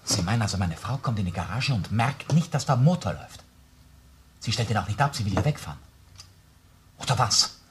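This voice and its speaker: A man's voice: on the low side, lively intonation, a little fast, pauses often, lightly acted. A man playing a defensive, proud murder suspect in a radio crime drama, protesting and countering questions on a noisy recording. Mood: subdued, wary. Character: indignant male murder suspect, defensive, proud, under interrogation. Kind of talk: German-language radio crime drama acting. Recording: noisy, with background ambience.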